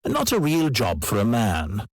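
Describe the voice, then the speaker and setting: An older Welsh dancing master looks back with pride and determination. His voice is mid-pitched with very varied melody, recorded dry for a listening test. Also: huge pitch swings, a little slow, no room sound, heavily acted. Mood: proud, reminiscing. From Wales. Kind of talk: acted monologue for an English listening exam.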